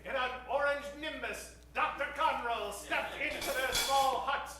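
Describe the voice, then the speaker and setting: High, bright theatrical male radio announcer. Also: measured pace, a little hiss or hum. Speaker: announcer and narrator. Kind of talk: old-time radio narration, part improvised. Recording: echoey stage, distant mic, faint music.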